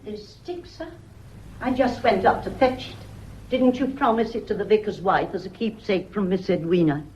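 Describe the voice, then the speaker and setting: A woman acting in a radio drama as a devoted housemaid, deferential and mournful, with quietly pointed remarks. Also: medium pitch, normal speed, a little hiss or hum, lightly acted. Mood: innocent, wistful. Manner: deferential, mournful, softly insinuating. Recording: dull, muffled lo-fi audio.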